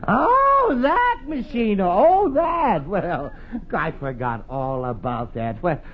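Blustering, flustered 1940s radio dad. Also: male voice, sits high, big pitch swings, slow pace, exaggerated voice. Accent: American. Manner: stammering, blustery, exclaiming. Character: blustering, penny-pinching, flustered middle-aged father and husband. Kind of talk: 1940s radio comedy acting. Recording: muffled, noisy 1940s radio broadcast.

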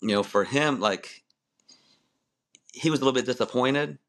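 A man's voice: medium pitch, moderate pace, a bit bright. A man in his forties, a guest on a podcast, telling his story in a loose, rambling way with many restarts and easy laughs. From born in Malaysia, raised in the United States. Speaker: wrestling referee, podcast guest. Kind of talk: casual interview storytelling.

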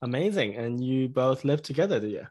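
A podcast host interviewing guests over a call, friendly and asking quick rapid-fire questions. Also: mid-range voice, expressive, a little mellow. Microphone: remote call recording.

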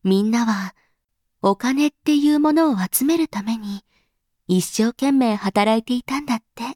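A woman acts as a patient mother in an audio drama. Her voice sits fairly high, with gentle, reassuring phrasing as if explaining to a child. The recording is very dry. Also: measured pace, no room sound. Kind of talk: Japanese audio drama acting.

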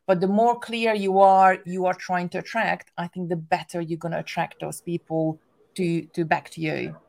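A woman's voice: fairly low pitch, flat delivery, moderate pace, creaky, darkish tone. A woman from Hungary with a strong Hungarian accent, English being her second language, chatting casually as an interview guest about her craft business with lots of restarts and fillers. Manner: chatty, many restarts and "like" fillers, laughs often.

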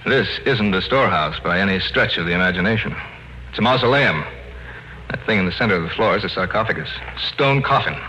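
An American actor playing a curious, skeptical husband in an old-time radio horror drama. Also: male voice, sits low, animated, brisk, bright, forceful tone. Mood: matter-of-fact. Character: Bill, curious, skeptical married man and lecturer. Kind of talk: 1940s-50s radio horror drama acting. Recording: muffled, noisy old-time radio recording.

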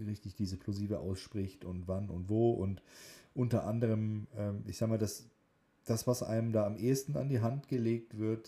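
A man co-hosting a casual podcast, teasing and joking, often halting and correcting himself. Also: fairly low pitch, mellow. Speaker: podcast co-host. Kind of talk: casual banter and chat about voice training classes.

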